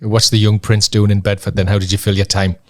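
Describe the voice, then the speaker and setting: A man's voice: lowish voice, level tone, fast talker, darkish tone, no room sound, studio quality. A man hosting a podcast, interviewing casually and attentively with short prompting questions. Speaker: podcast host interviewing a guest.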